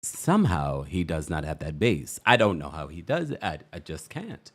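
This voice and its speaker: A man with a deep voice hosts a casual celebrity news show. He talks in a chatty, animated way and laughs often. His pitch swings widely from low to high. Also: normal speed. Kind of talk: casual commentary livestream.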